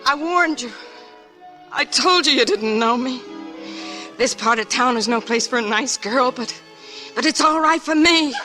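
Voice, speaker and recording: An actress in a 1940s radio drama playing a tender, worldly frontier woman in love, with a halting, pleading delivery. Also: sits high. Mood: resigned, sad. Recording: old radio broadcast, music underneath.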